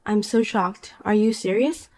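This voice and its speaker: A woman voicing a calm, cool married young woman in a dramatized story, dry and patient. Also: medium pitch, a little slow, lightly acted.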